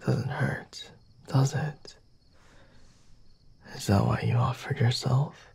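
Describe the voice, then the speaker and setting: A man as a breathy, half-whispering flirty vampire. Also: medium pitch, darkish tone, exaggerated voice. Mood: seductive, intimate. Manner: slow, deliberate, formal wording with sighs and hums. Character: flirty, condescending, merciful male vampire, self-assured and teasing. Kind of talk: ASMR roleplay.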